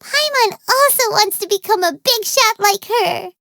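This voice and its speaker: A woman voicing a tiny childlike fairy companion in a video game, squeaky, bubbly, boastful and naive. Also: unhurried, vocal fry, no echo, clean studio sound, heavily acted. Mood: self-important. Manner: refers to self in third person, exclamatory, excitable. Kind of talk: video game character voice lines.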